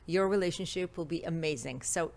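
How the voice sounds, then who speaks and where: A woman's voice: fairly low pitch, fairly quick, creaky. A woman from Israel with an Israeli accent, a native Hebrew speaker, talking as a confident, playful relationship expert guest in a studio interview. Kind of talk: interview conversation. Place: podcast studio.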